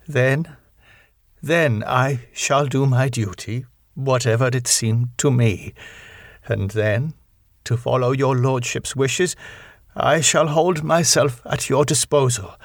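A man voicing a stern, solemn elderly foreign doctor who pleads gravely in an audiobook story. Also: mid-range voice, no room sound, studio quality, exaggerated voice.

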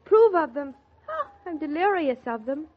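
An actress playing a whimsical, earnest young farm wife who gushes with wonder, in 1940s radio drama style. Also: female voice, high-pitched, sing-song, normal speed. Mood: earnest, delighted. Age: young adult. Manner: gushing, wonder-struck. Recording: muffled 1940s radio recording.

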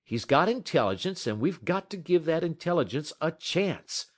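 A man's voice: fairly high pitch, lively intonation, normal speed, pitched above the performer's own voice. A man voicing an earnest dog owner who talks urgently and persuasively. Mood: pleading.

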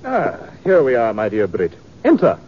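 A man's voice: mid-range voice, wildly swooping pitch, moderate pace. A man playing a pompous theatrical actor-manager with florid, affected, grandly dramatic delivery in a 1950s radio drama. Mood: grandiose, effusive. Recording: muffled, noisy 1950s radio recording.

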